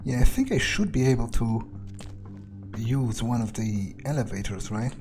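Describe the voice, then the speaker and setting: A man's voice: medium pitch, moderate pace. A man thinking aloud in character during a video game playthrough, musing casually to himself and swearing freely. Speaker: gamer playing in character as a vampire. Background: music behind the voice.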